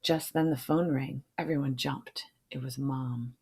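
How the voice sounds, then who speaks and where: A woman's voice: extremely low pitch, fairly even intonation, measured pace, gravelly, a little mellow, husky. A woman reading a children's storybook aloud, adding informal asides and checking in with her listeners. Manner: checks in with listeners using questions.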